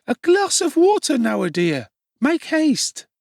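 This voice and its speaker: A man voicing a violent, shrill young woman servant who screams and scolds. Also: huge pitch swings, takes it slowly, vocal fry, a little mellow, dry recording, clean studio sound, full character voice. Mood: shrill fuss.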